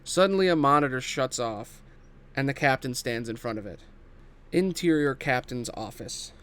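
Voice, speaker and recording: A man's voice: medium pitch, animated, normal speed, fairly bright tone, clear, resonant tone. A man narrating scripted stage directions at a table read.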